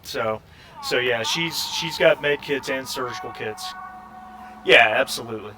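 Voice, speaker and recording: A man running a tabletop roleplaying game as game master, speaking in a halting, thinking-aloud way. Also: mid-range voice. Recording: clearly noisy background hum.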